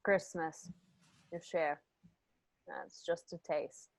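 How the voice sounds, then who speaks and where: A woman's voice: lowish voice, steady tone, takes it slowly, vocal fry, no echo, full character voice. A woman playing a domineering, exasperated, foul-mouthed mob boss in a crime radio play, with a brash and emphatic delivery. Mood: quiet glee.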